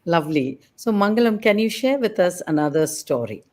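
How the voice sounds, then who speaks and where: Low-voiced effusive woman host. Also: expressive, fairly quick, darkish tone. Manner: effusive, praising with many fillers. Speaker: radio show host. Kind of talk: interview talk.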